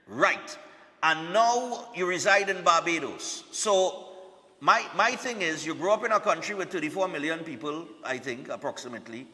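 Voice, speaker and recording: A man's voice: fairly high pitch, lively intonation, normal speed, sharp tone, big-room sound. A man hosting a live morning TV show, chatty and repetitive, often checking in with "all right?".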